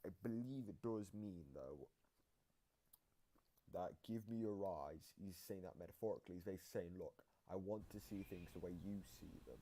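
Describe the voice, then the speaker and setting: A man's voice: low voice, moderate pace, warm tone, low-quality recording. A man giving informal explanatory commentary, repeating and rephrasing points for emphasis. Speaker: video commentator explaining game voice lines.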